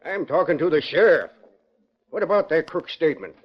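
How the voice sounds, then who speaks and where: An actor playing an officious, blustery western banker and mayor with a husky voice in a 1940s radio drama. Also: male voice, a little high, animated, fairly quick. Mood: stern. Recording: dull lo-fi 1940s radio recording.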